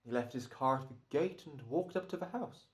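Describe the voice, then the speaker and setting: Guy acting a fussy polite hired killer, hesitant and smug, pitch jumps around, radio play. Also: expressive, fairly quick, close to an everyday voice. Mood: quietly smug. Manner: hesitant, over-polite, mannered. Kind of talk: old-time radio drama acting.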